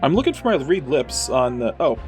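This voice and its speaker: A man's voice: medium pitch, sing-song, fairly quick, soft background music. A man chatting casually as a player in a tabletop role-playing game, talking through his character's moves.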